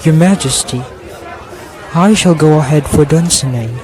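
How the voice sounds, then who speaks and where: An ambitious nobleman who hides his scheming behind courtesy, played by a young man in a homemade radio play. He speaks slowly and deliberately in a slightly high voice. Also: darkish tone, a faint crowd, close to an everyday voice. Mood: courteous. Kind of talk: student radio drama acting.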